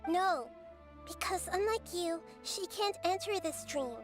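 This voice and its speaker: A woman voicing a small, wise girl deity in a video game, calm and earnest as she lectures. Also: extremely high pitch, unhurried. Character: small girl god, gentle, wise, calmly stern. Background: faint game music.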